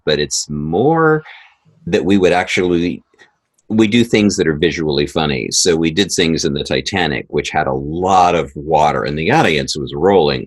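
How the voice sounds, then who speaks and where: A man talking casually as a guest in an interview, telling stories about his creative work. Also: low-pitched.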